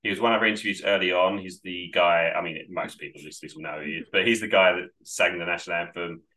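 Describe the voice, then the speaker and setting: A man's voice: low voice, fairly even intonation, quick pace, bright, forceful tone. A man co-hosting a casual podcast chat, rambling and upbeat, getting over a cold. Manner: rambling, self-correcting, upbeat. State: recovering from a cold. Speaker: podcast co-host.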